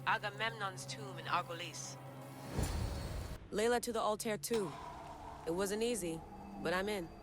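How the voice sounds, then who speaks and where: A woman playing a confident, determined young assassin researcher in a game cutscene, brisk and casual. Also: medium pitch, music in the background, close to an everyday voice. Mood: businesslike. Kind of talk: video game cutscene acting.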